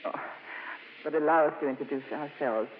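A woman acting a gentle, persistent nun in a 1950s radio drama, courteous and apologetic in manner. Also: low-pitched, moderate pace, close to an everyday voice. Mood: earnest, persistent. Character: gentle, earnest, persistent nun with polite resolve. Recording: tinny, reverberant, lightly noisy old radio recording.

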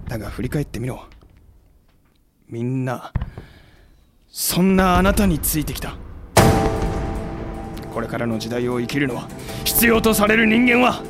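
An actor playing a bold, blunt, battle-hardened samurai commander in a Japanese period voice drama, with rough-edged masculine speech. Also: male voice, on the high side.

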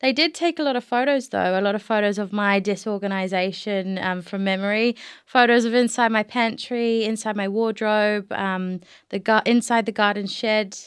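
A woman's voice: mid-range voice, moderate pace, clear, resonant tone, dry recording, clean studio sound. A woman, a journalist speaking on a podcast about her own reporting. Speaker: journalist, interview guest. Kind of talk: conversational interview and reading aloud of an article.

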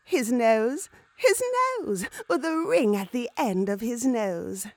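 A woman presenting and reading in a polished, expressive scripted narration style. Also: on the high side, slow pace, dry recording. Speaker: presenter and reader.

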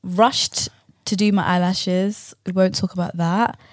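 A young woman with a London accent co-hosting a podcast, telling personal stories in casual, slangy, animated speech. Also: on the low side, a little slow, slight background noise. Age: young adult. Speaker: podcast co-host. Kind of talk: casual chat and personal storytelling.

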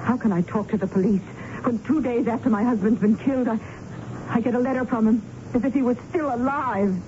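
Distraught widow played by a woman, agitated and pleading, mellow tone, old radio drama, faint hiss of noise. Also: fairly high pitch, fairly quick, lo-fi sound, close to an everyday voice. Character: distraught, frightened recent widow. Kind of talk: 1970s radio drama acting.

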